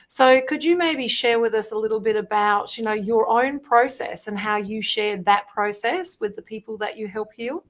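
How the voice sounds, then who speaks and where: A woman hosting an interview, asking questions in an encouraging, inquisitive way. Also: medium pitch. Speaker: interview host.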